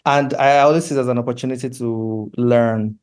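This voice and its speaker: A Nigerian man speaks earnestly and in a measured way as an interview guest. His voice is soft-edged and mellow, middle in pitch, and stays on a very level line. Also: steady tone, clear, resonant tone. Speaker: comic book creator, interview guest. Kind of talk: conversational interview answers.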